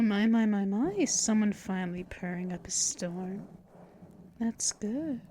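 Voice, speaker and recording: A woman voicing a possessive female vampire mistress in an ASMR roleplay, talking in soft, teasing whispers up close to the mic. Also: fairly high pitch, a little hiss or hum. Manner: slow, drawn-out delivery.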